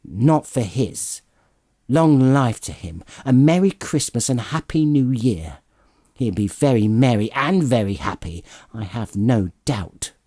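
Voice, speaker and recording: A man voicing an indignant, sharp-tongued Victorian wife and mother in a dramatized audiobook, emphatic and sarcastic. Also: mid-range voice, animated, measured pace, dry recording, studio quality. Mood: grudging, sarcastic.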